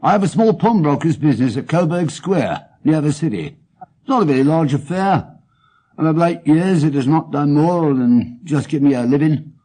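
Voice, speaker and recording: A man's voice: fairly high pitch, animated, moderate pace, warm tone, low-quality recording. A man playing a homely, wordy English pawnbroker in an audiobook, with an earnest, stammering manner. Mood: earnest, plaintive. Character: plain, stout, red-headed male pawnbroker, earnest and wordy.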